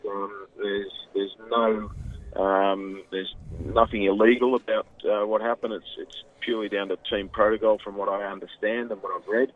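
A man's voice: lowish voice, little pitch movement, moderate pace. A man with an Australian accent, a football pundit chatting on a radio show, enthusiastic and rambling with plenty of fillers. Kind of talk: radio phone-in commentary. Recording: tinny phone line.

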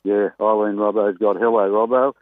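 An Australian man, a greyhound trainer, chatting casually and excitedly as a guest on a phone interview. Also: lowish voice, moderate pace, no echo. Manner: chatty, rambling, excitable about racing. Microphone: telephone. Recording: tinny phone line.

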